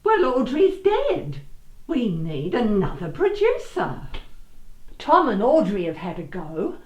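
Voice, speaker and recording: A woman's voice: fairly high pitch, huge pitch swings, slow, a little mellow, roomy sound, heavily acted. A woman playing a flighty, childishly eager soap actress in a comic radio play. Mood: eager, insistent.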